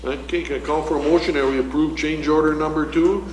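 A man chairing a city council meeting as mayor, calling motions and votes in a brisk, routine manner. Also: fairly high pitch, fairly even intonation, darkish tone. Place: council chamber, large room. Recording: echoey council chamber with background noise.

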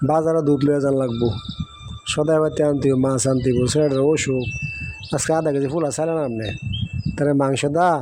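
A man acts out an anxious father from a Bangladeshi village in an amateur cartoon. His voice sits a little high and his talk is urgent, with a rural accent. There is clear noise on the recording. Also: lightly acted. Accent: rural Bangladeshi Bengali.

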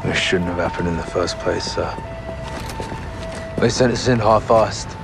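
Young brit sergeant in a war game, fed up, blunt, on the low side. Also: male voice, measured pace, vocal fry, loud music behind the voice. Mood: bitter, frustrated. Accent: British. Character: frustrated young British sergeant, blunt and indignant.

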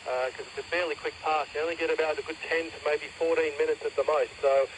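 A man's voice: a little high, fairly even intonation, fast. A man with an Australian accent making casual amateur radio contacts, heard through a two-way radio. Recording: noisy satellite radio link, loud static.

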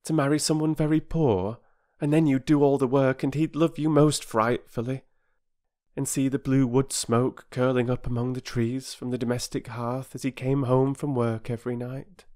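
A narrator voicing an earnest, gentle older girl with grown-up airs, in a children's storybook. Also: mid-range voice, fairly even intonation, moderate pace, pitched above the performer's own voice, natural voice. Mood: dreamy.

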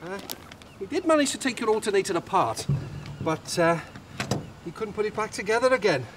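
Sheepish hesitant bloke on kids tv, clear noise round him outside. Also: male voice, high voice, expressive, a little fast. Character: sheepish, apologetic man, a taxi driver's helpful pal. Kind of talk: children's TV acting.

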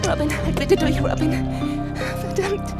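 An actress playing a determined police detective inspector in a radio play. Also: female voice, sits high, unhurried, loud music behind the voice. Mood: alarmed, urgent.

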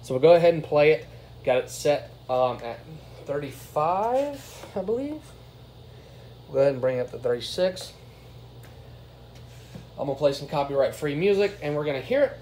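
A man's voice: on the high side, very animated, normal speed, long pauses, a little mellow. Plain-spoken man, noisy room recording. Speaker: product reviewer. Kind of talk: casual hands-on review commentary. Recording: clear background noise, room ambience.

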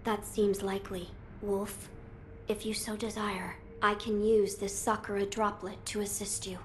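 A woman's voice: mid-range voice, flat delivery, measured pace, slight background noise, lightly acted. Woman voicing a solemn, formal young noble boy. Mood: earnest, gracious. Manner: formal, earnest, polite. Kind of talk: video game voice acting.

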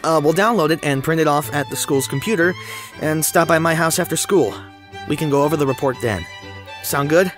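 A woman playing an anxious, flippant teenage schoolboy in an audio drama, stammering and excitable. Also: sits low, a little fast, over music, close to an everyday voice. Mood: flustered, casual. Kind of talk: scripted audio drama acting.

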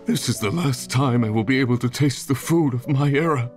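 A man playing a wistful hunter from a bygone era in a video game, speaking in a halting, sighing manner. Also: mid-range voice, music in the background, natural voice. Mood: wistful, moved. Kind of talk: video game character acting.

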